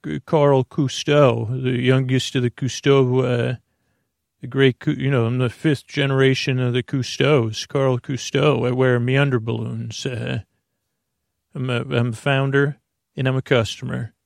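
A man's voice: medium pitch, level tone, measured pace, gravelly, no echo, clean studio sound. A man playing a goofy male inventor who shows off his balloon underwear, saying "me" for "my". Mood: hammy. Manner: hammy, pirate-like "me" for "my".

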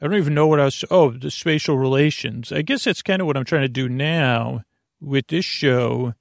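A man hosting a bedtime podcast with a meandering, off-topic monologue, halting and often restarting his sentences as he trails off. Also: medium pitch, vocal fry, no room sound, clean studio sound. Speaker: podcast host.

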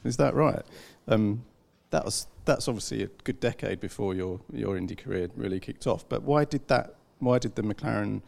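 Gravelly male interviewer reading notes. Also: fairly low pitch, normal speed, hardly any pauses, darkish tone. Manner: reads from notes, chatty and rambling. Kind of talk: interview questions.

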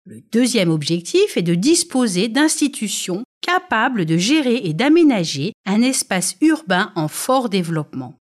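Female podcast presenter, accent from France, animated intonation, scripted educational read, didactic and orderly. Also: mid-range voice, moderate pace, few pauses. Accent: French from France. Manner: didactic, structured, poses questions then answers them.

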